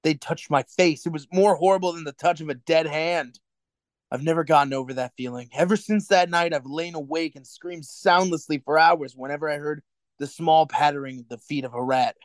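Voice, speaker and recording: A man acts an anxious man in forbidden love for a radio play. His voice sits a little high and is recorded dry and close, and he speaks earnestly, with hesitation. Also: fairly quick, no echo, close to an everyday voice. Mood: haunted. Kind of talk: radio drama acting.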